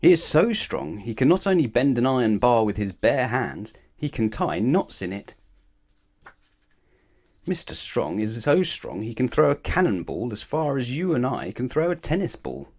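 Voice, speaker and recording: A man reading a children's story aloud in an expressive, animated way, with emphatic phrasing aimed at the listener. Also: mid-range voice, moderate pace. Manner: animated, asks the listener questions, emphatic repetition.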